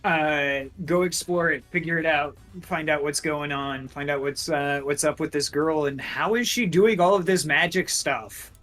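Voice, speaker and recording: A man co-hosting a casual podcast, chatty and quick, with many stumbling restarts. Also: on the high side, fairly even intonation, a bit bright, soft background music. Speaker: podcast co-host.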